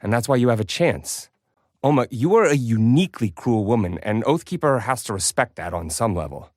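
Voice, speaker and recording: A man voicing a wry, glib specialist whose pitch moves lively around a middle register. The delivery is dry, close and unadorned. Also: brisk, no echo, close to an everyday voice. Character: wry male warding specialist. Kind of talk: fan voice drama.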